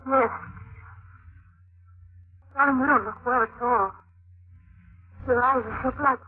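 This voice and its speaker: An actress playing an earnest, devoted young fiancée with emotional, pleading delivery in an old-time radio drama. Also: female voice, sits high, steady tone, unhurried. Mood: tender, concerned. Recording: muffled, noisy vintage radio recording.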